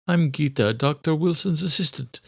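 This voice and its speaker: A man's voice: on the high side, moderate pace, gravelly, breathy edge, no room sound, clean studio sound, pitched above the performer's own voice. A man voicing a formal, guarded woman assistant in an audio thriller. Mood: polite, reserved.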